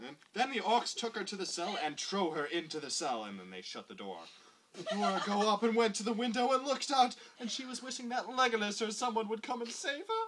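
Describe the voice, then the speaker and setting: A woman's voice: mid-range voice, sing-song, a little fast, background noise. A woman giving an over-dramatic comic reading of bad fan fiction, with exaggerated emphasis that often verges on laughter.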